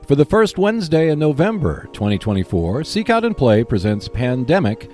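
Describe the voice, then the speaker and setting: A man hosting a hobby podcast, reading scripted announcements in a measured, clearly enunciated way. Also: medium pitch, huge pitch swings, dark tone, soft background music. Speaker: podcast host.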